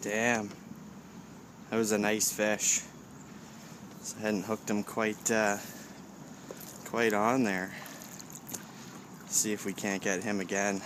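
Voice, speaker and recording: A man with a low voice talks casually through a fishing outing, muttering in a laid-back way as if to himself. He is recorded outdoors on the water on a camera mic, with clear background noise. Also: flat delivery, takes it slowly, pauses often. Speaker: fishing show host. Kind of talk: casual fishing vlog narration. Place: outdoors on a kayak on open water. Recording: noisy outdoor camcorder audio with ambient noise.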